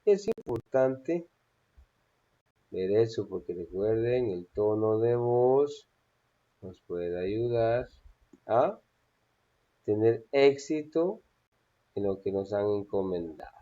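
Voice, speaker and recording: A man's voice: fairly low pitch, animated, slow, long pauses, dark tone, muffled recording. A man teaching a class on public speaking, hesitating often and checking in with his students. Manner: hesitant with frequent "eh" fillers and checking "¿sí?". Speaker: instructor.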